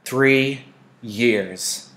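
A man's voice: medium pitch, very slow, a bit bright. A man voicing a stern mother in an audiobook, firm and emphatic as she instructs her child.

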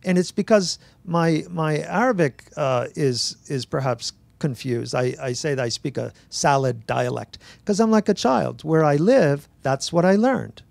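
An older man, an author at a bookstore talk, telling stories to an audience on a handheld mic, animated and acting out dialogue with frequent restarts. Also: on the high side, a little slow, vocal fry, few pauses, no echo. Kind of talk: relaxed storytelling to an audience. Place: bookstore event space.

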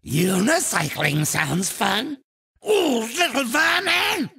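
A man voicing a manic, gloating alien racer villain in a video game, with a shrill, gravelly, theatrical and taunting delivery. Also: sing-song, slow, sharp tone, full character voice. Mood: taunting, scornful. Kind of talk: exaggerated cartoon video game voice lines.

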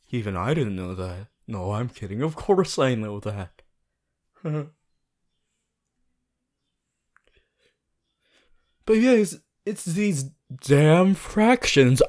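A man playing an earnest, bossy, worried young student hero in an audio roleplay, talking to the listener in an insistent, halting, emphatic way. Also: mid-range voice, darkish tone. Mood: earnest, playful. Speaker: voice actor.

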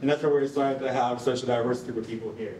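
Young man, informal and animated live presenter, conversational, heard at a distance in an echoing room. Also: medium pitch, fast talker. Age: young adult. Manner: conversational, animated, frequent "like" and "you know". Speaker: project presenter. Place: concrete-walled rehearsal hall before an audience. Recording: roomy, distant mic, faint noise.

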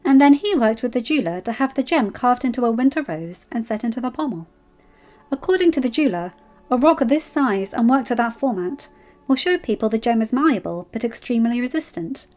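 Woman doing a young noble lady in a storybook, playful and sure of herself, voice pitched up. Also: expressive, a little fast, a little mellow, natural voice. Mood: assured. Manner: fond, playful, assured. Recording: muffled lo-fi recording, music behind.

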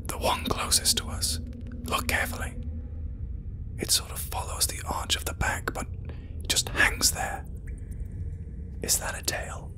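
A man playing a shaken scientist who records a tense log deep inside a cave. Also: a little slow, long pauses. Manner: low hushed whispering, tense. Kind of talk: horror audio drama narration.

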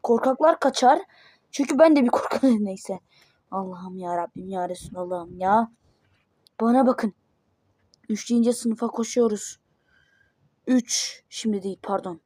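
A woman voicing panicky kid characters in a homemade cartoon, with excitable exclamations. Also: mid-range voice, unhurried, pauses often, dry recording. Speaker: cartoon voice performer.

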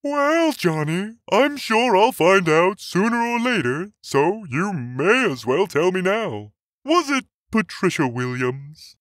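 Man acting a slow, swooping, insistent priest. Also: high voice, big pitch swings, a bit bright, no room sound, clean studio sound, pitched above the performer's own voice, exaggerated voice. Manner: deliberate, questioning, admonishing. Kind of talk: acted dialogue in a narrated joke.

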